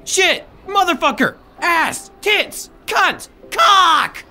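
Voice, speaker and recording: A man's voice: extremely high pitch, sing-song, drawn-out words, pushed and bright, raised voice, faint music behind, pitched above the performer's own voice, full character voice. A man voicing a sardonic, easily flustered young man with a casual manner in a story reading. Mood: alarmed. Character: sardonic, flustered young human man, newly made Death.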